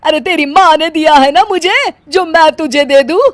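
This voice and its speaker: Woman acting a high-voiced cartoon mother-in-law. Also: moderate pace, very noisy, natural voice. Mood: mocking, indignant. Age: older. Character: bossy, scolding older mother-in-law, sometimes sweetly doting. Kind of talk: Hindi moral-story cartoon voice acting.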